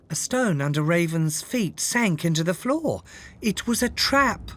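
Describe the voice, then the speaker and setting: A storyteller reading a children's fairytale aloud in an expressive, measured way, with dramatic scripted delivery. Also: mid-range voice, a little hiss or hum. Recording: music under the voice. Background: background music.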